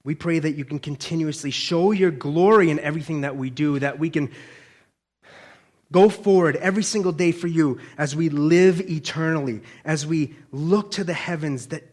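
Male preacher, slightly high voice, colloquial, conversational sermon delivery with frequent check-in questions. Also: moderate pace, a little mellow.

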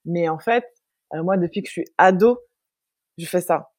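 A young woman from France speaks as an interview guest in a relaxed, conversational way. Her voice is low-pitched and mellow, and she thinks aloud, often hesitating and restarting her sentences. The recording is dry and close. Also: moderate pace, dry recording. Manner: reflective, many hesitations and restarts.

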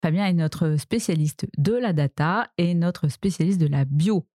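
A woman hosting a podcast, reading a measured prepared introduction before asking a question. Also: lowish voice, dark tone, dry recording, clean studio sound. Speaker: podcast host, interviewer.

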